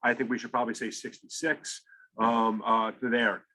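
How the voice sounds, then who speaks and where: A man's voice: mid-range voice, flat delivery, moderate pace, pushed and bright. Man giving an informal explanatory presentation. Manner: conversational, step-by-step explaining. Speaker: college counseling director presenting to parents.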